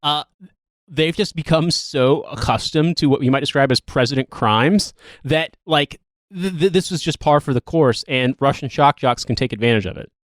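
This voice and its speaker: A man's voice: a little high, normal speed, no echo, clean studio sound. A man hosting a podcast, talking casually about politics in a rambling, wry and sweary way. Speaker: podcast host. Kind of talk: casual, joking political discussion.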